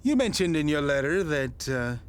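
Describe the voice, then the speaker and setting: An actor playing a genial, eccentric older radio station boss in an audio drama, speaking in a courtly, halting, wordy way. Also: male voice, on the high side, very animated, clear, resonant tone. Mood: solemn, courteous.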